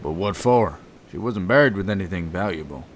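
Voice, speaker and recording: A man's voice: low-pitched, expressive, brisk, slight background noise. An actor playing a startled but practical man among vampire hunters in an audio drama. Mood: alarmed. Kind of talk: audio drama acting, period setting.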